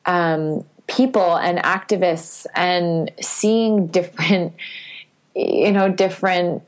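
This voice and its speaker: A woman guest on a podcast interview talks candidly and thoughtfully, with many fillers, and grows animated at times. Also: lowish voice, slow. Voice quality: sweet singing voice.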